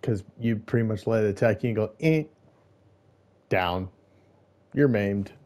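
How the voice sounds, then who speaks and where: A man hosting a casual game playthrough, musing aloud in a halting, rambling way. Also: fairly low pitch, a little mellow. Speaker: gaming channel host. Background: game music.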